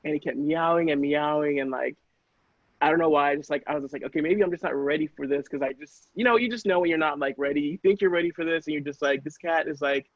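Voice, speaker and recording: A man's voice: fairly high pitch, fast, no echo. A man casually telling a personal story in a rambling, hesitant, self-questioning way. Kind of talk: casual personal anecdote chat. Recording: dull, dry, lo-fi audio.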